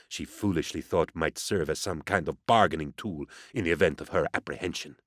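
A male narrator performs a sardonic interrogator in an audiobook story. He speaks low and measured, with a courtly amiability that carries open menace and theatrical mockery. The sound is dry and close. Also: a bit bright, dry recording. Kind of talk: audiobook character acting.

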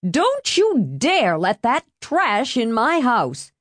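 A woman voicing a bitter, imperious aunt in an audiobook, shrill and hissing as she scolds. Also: huge pitch swings, takes it slowly, dry recording, clean studio sound, heavily acted. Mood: shrill, furious. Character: bitter, shrill, spiteful aunt shouting from upstairs window.

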